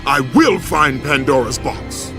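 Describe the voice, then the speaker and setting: An actor playing a young, wrathful and defiant Spartan warrior captain in a video game, declaiming with brooding, vengeful drama. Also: male voice, on the high side, sing-song, unhurried. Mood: vengeful rage. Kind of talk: video game cutscene acting. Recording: voice under loud ominous music.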